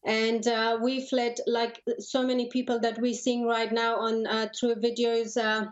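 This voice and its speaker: A woman in her thirties from Afghanistan, speaking earnestly and haltingly as a guest in a news interview. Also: fairly high pitch, level tone, muffled recording. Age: 30s. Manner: halting, repeats words, earnest. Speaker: interview guest, lawyer and human rights advocate.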